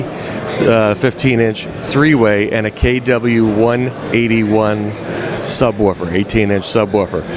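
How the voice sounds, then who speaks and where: A man in his forties with an American accent, giving a product demo to camera as a company presenter. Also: fairly low pitch. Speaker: company product director presenting at a trade show. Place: large indoor trade show hall. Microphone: handheld microphone close to mouth. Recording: busy trade show crowd noise. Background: clear crowd bustle.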